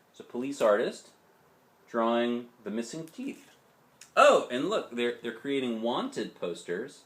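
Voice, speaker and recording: Man, storybook reader, highly varied intonation, chatty fatherly asides to kids, expressive. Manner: expressive, chatty, addressing young listeners. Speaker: father reading a picture book aloud. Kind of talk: storybook narration with casual asides.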